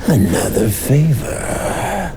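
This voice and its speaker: A man's voice: mid-range voice, sing-song, gravelly, exaggerated voice. A man voicing a sly, mocking, ancient ghoul leader in a horror radio play, with a guttural, hissing, whispery delivery. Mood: calculating. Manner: slow, taunting, drawn-out delivery. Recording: music under the voice.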